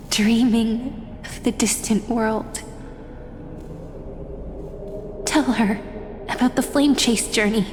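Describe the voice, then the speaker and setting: A playful young heroine talks in a slightly high, unhurried voice. She teases softly and stays gentle even when her words turn serious. A long reverb and faint music sit behind her. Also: slight background noise. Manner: teasing and gentle. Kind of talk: game cutscene voice acting.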